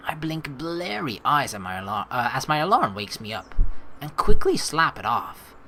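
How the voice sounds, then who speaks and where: A woman's voice: very deep voice, wildly swooping pitch, moderate pace, bright, forceful tone, a little hiss or hum. A woman hosting a let's play, switching between reading game narration aloud and playful, teasing commentary.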